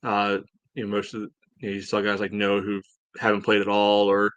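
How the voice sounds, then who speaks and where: A man gives casual sports analysis in a fairly low voice. His intonation stays flat while his phrasing is loose and conversational. The sound is close and dry. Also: fairly quick, clear, resonant tone, no room sound, highs cut off. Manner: conversational, peppered with "you know". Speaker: soccer podcast co-host.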